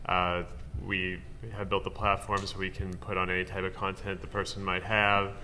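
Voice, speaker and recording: A man introducing his company on a tech panel, quick and hesitant with many fillers. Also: deep voice, completely flat delivery, sharp tone, slight background noise. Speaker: panelist, audience development manager. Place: conference hall.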